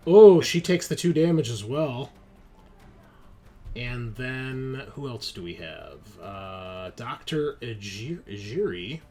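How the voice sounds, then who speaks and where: A man improvising scene narration as game master of a tabletop roleplaying game, with halting, hesitant pauses. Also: medium pitch, lively intonation, mellow. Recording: noticeable background noise.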